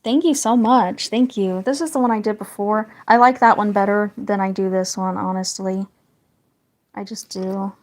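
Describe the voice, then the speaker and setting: A woman hosting a relaxed live stream, chatting away to her viewers while she draws. She talks in a meandering way and drifts into soft, quiet asides. Her voice sits at an ordinary middle pitch. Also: moderate pace. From northern United States. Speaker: livestream host drawing and chatting with viewers. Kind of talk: casual livestream chat.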